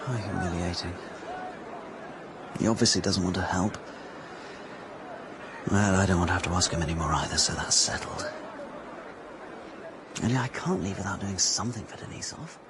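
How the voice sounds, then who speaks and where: An actor playing an earnest, proud young Russian officer in a radio drama, speaking with hesitant fervour. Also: male voice, low-pitched, normal speed, long pauses. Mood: bitter, humiliated. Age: young adult. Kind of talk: radio drama acting with inner monologue. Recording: bustling outdoor crowd noise behind.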